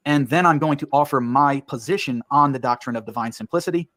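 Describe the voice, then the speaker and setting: A man with a mid-pitched voice gives a prepared lecture, walking through each point in order. His delivery is steady and explanatory. The video plays back through a stream with dry, close sound. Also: fast, no echo. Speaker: online lecturer.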